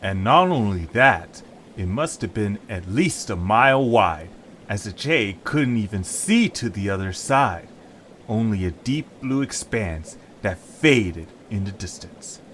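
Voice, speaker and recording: A man's voice: on the low side, lively intonation, takes it slowly, fairly bright tone, a little hiss or hum. A man narrating a scripted story as its storyteller.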